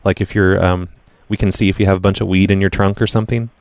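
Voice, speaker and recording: Deadpan man on a prank call. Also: sits low, quick pace, no room sound. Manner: deadpan, hesitant, rambling with stammered repeats. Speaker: prank caller, show host. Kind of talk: deadpan prank phone call, casual chat.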